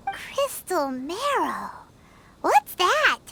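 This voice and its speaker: Tiny, very squeaky fairy companion. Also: female voice, wildly swooping pitch, very slow, vocal fry, pushed and bright, slight background noise, exaggerated voice. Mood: puzzled. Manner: chirpy, inquisitive. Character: tiny childlike fairy companion, eager and inquisitive. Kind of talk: scripted video game dialogue. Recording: prominent music behind the voice.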